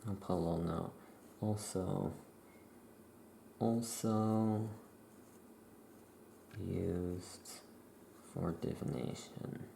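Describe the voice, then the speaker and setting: A soft-spoken ASMR performer playing a laid-back witch shopkeeper, murmuring in an unhurried way while writing. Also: sits low, fairly even intonation, vocal fry. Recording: roomy echo, faint background noise.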